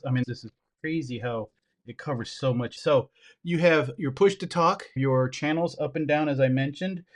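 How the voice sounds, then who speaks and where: A man giving a hands-on product review, upbeat and enthusiastic, talking to viewers directly while he handles and shows the gear. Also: mid-range voice. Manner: talks while handling gear, brief pauses and asides.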